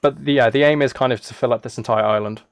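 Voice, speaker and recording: A man's voice: mid-range voice, fast talker, no room sound. A man chatting casually to viewers as he livestreams a game, playful and teasing, drifting into rambling asides. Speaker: livestreamer and host. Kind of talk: casual livestream chat while playing a video game. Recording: clear noise with key clicks and typing. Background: keyboard typing and clicks.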